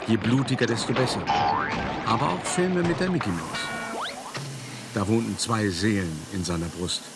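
An actor playing an urbane, self-satisfied art dealer, telling his story in a measured, articulate radio play monologue. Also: fairly low pitch, over loud music, close to an everyday voice. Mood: reminiscing, wry.

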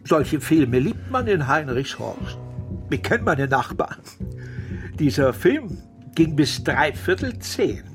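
An actor playing a nosy, rambling, opinionated neighbour who phones the police with tips in a radio crime drama. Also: male voice, fairly high pitch, sing-song, slow, music in the background, exaggerated voice. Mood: rambling, knowing.

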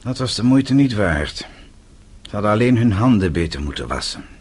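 An actor playing a bitter, defiant middle-aged exile in a radio play, sardonic and accusing. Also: male voice, on the low side, very animated, moderate pace, husky. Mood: sardonic, guarded. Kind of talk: Dutch radio play acting.

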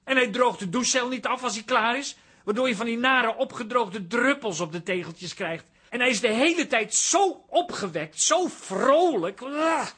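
A man's voice: very high-pitched, expressive, normal speed, bright, forceful tone, slightly rough, full character voice. An actor playing a fussy, uptight man in a radio play, agitated and whining, easily exasperated. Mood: indignant whining. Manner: agitated, whining, stammering when flustered.

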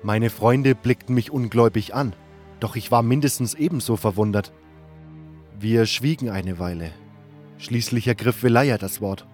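A man's voice: mid-range voice, normal speed, darkish tone, lightly acted. A man playing a serious hero who narrates his own fantasy adventure in an audio drama. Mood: measured. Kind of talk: scripted narration. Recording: music bed behind.

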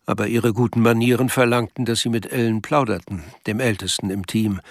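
A man's voice: on the low side, steady tone, brisk, no room sound, clean studio sound. A man narrating a literary radio drama from a script. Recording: loud music behind the voice.

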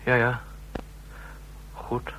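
An actor playing a nervous, submissive subordinate agent, hesitant and deferential, in a radio drama. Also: male voice, very slow, pushed and bright, poor audio. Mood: subdued, anxious. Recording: vintage radio play with faint hum.

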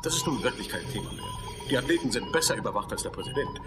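A man's voice: mid-range voice, animated, rapid-fire, music in the background, natural voice. A man playing an evasive sports doctor in a radio drama, dismissive and placating. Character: evasive, smooth sports doctor defending machines and surveillance.

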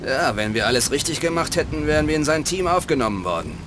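A man's voice: a little high, very animated, quick pace, background noise. A man playing a resigned would-be gangster hacker in a children's radio play.

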